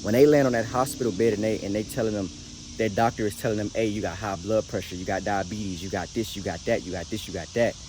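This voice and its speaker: A young American man with a lowish voice talks directly to his audience in a casual motivational style. He is slangy and emphatic, often repeating phrases to drive them home. Clear background noise runs behind his voice. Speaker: motivational vlogger.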